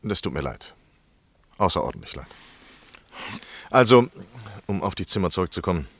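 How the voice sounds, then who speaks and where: A man playing a courteous, eager but evasive gentleman journalist in a radio play, speaking politely and carefully. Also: low-pitched, sing-song, moderate pace, slightly rough, dry recording, full character voice. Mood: bargaining. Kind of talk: 1960s German radio play acting.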